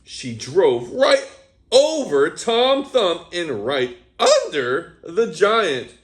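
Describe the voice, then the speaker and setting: Animated high-voiced man reading to kids. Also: very slow. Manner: animated storyteller, chatty asides to young listeners. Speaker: children's read-aloud host. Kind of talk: reading a picture book aloud to children.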